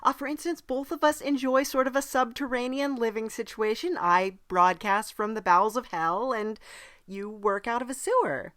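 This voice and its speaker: A woman playing a non-human podcast host from hell, chatting casually in a halting, dryly polite way. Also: a little high, fairly bright tone, close to an everyday voice. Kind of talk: casual in-character interview chat.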